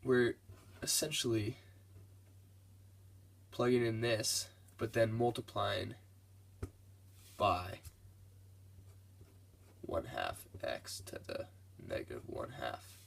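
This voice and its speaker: A man's voice: lowish voice, animated, slow pace, gravelly, pauses often. A congested young man giving a casual, laid-back math lesson over his worked problems. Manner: laid-back, loose and casual, with many fillers.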